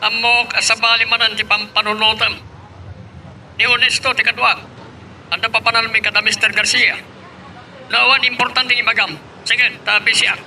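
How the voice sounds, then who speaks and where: A man's voice: extremely high pitch, level tone, moderate pace, pauses often, sharp tone, slight background noise, exaggerated voice, low-quality recording. A man playing an authoritative boss giving orders in an Ilocano-style audio drama. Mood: brisk, commanding.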